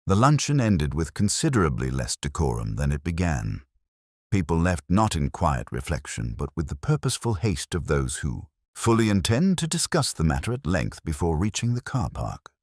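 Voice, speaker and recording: A very deep-voiced man narrates a story in measured, scripted prose. His delivery is dry and wry, with lively movement in pitch and a close, dry sound. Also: no room sound.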